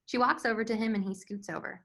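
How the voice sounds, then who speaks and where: A woman's voice: a little high, steady tone, fast, gravelly, a bit bright. A woman reading stage directions as scripted narration for a screenplay table read. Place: remote virtual table read.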